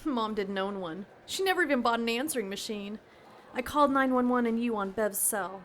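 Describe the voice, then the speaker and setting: Worried, higher-voiced client. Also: female voice, animated, brisk, a bit bright, a little hiss or hum. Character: worried, earnest woman seeking a detective's help. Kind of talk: audio drama dialogue.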